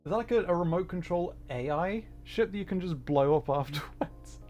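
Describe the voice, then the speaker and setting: A man's voice: high-pitched, lively intonation, moderate pace, a little mellow. A man giving casual gaming livestream commentary, rambling and thinking aloud as he plays. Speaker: livestream host, gamer. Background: music.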